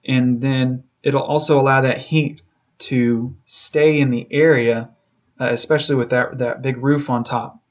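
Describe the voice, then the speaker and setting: A man's voice: medium pitch, steady tone, unhurried, lo-fi sound. A man with a mid-range voice delivers an informal lesson. He explains things step by step in a casual, enthusiastic way, like an instructor talking through slides. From southern United States. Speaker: beekeeping instructor. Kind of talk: informal lecture over slides.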